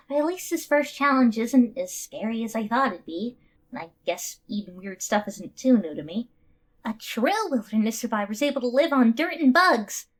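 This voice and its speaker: A woman's voice: on the high side, lively intonation, moderate pace. A woman voicing a chirpy, slightly nervous, outdoorsy girl contestant in a cartoon. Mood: nervous, candid. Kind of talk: cartoon character dialogue.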